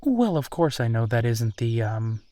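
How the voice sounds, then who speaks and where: A man playing a gentle, embarrassed werewolf boyfriend in an intimate roleplay audio drama, speaking hesitantly and self-deprecatingly. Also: medium pitch, very animated, a little slow, dry recording. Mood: sheepish, regretful. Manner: hesitant, self-deprecating, sighing, soft chuckles.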